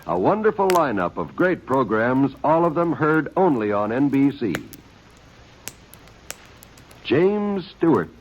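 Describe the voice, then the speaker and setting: A man giving network announcements in a crisp, formal announcer delivery, on a dull old radio broadcast with music behind him. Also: mid-range voice, very animated, normal speed. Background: orchestral music.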